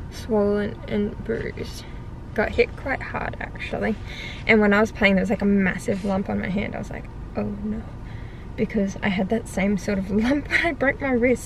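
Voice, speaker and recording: A young Australian woman talks to her camera from the driver's seat of a parked car, chatty and rambling, often laughing at herself. Her voice sits a little high, with a gravelly fry at the ends of phrases, and there is a clear background noise of the car around her. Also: poor audio. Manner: chatty, rambling, self-amused. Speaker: vlogger and personal trainer. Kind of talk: casual vlog chat to camera. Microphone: camera on the dashboard.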